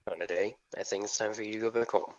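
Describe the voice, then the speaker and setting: A man's voice: fairly low pitch, fairly even intonation, quick pace, a little mellow, lo-fi sound, natural voice. A man acting a casual, teasing, protective teenage brother in a hobby table read.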